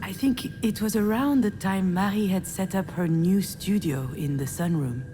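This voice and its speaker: A woman's voice: medium pitch, normal speed, warm tone, close to an everyday voice. An actress playing a troubled, self-doubting woman, confiding her thoughts in introspective video game narration. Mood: reflective. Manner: confiding, reflective. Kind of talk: scripted video game voice acting, introspective first-person narration. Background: game music.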